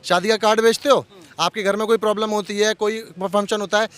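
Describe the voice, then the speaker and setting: A man's voice: sits high, little pitch movement, quick pace, fairly bright tone, smooth. A man being interviewed outdoors in a heated street debate, arguing with repeated phrases and rhetorical questions.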